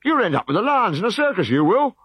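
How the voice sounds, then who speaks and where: A man's voice: sits high, very animated, moderate pace, creaky, breathy edge, dry recording, voice pushed up, full character voice. A man voicing a blustering, bossy Roman officer in a storybook reading. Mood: furious. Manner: shouting, exasperated.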